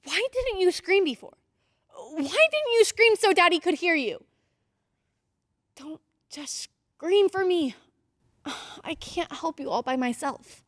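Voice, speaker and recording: Woman acting as ten-year-old girl, very high voice, earnest, pleading, radio drama heroine, dry close sound. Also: lively intonation, slow, pauses often, dry recording, full character voice. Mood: desperate, excited. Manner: urgent, pleading, emphatic.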